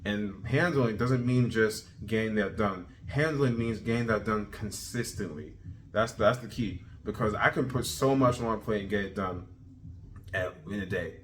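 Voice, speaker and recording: Energetic thirty-year-old man, low voice. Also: normal speed, slight background noise. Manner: energetic, repetitive, self-motivating. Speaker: vlogger. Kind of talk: casual self-improvement video journal.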